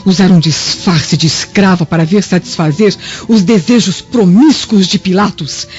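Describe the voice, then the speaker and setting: A woman's voice: on the low side, normal speed, a little mellow, lightly acted. A woman playing a jealous, scheming Roman woman, venomous and spiteful, in a radio drama. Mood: scornful, plotting. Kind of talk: Brazilian radio drama acting. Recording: dull lo-fi radio drama with music.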